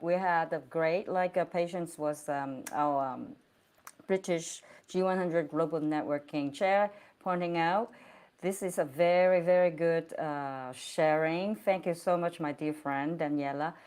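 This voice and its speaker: A woman hosting an online interview over a video call, speaking in an effusive, encouraging way and laughing often. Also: fairly low pitch. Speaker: host.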